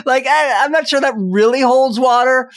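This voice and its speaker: Guy with a pretty high voice chatting casually on a podcast, kinda wry. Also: normal speed. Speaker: podcast co-host. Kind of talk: casual panel chat about a TV show.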